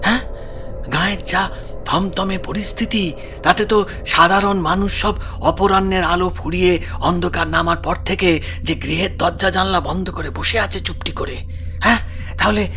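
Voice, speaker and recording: Man acting a sharp, flustered village husband. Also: high-pitched, steady tone, moderate pace, soft background music. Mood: nervous, puzzled. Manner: flustered, stammering, repeating words. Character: anxious, stubborn, kindly village householder, husband. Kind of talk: horror audio drama acting.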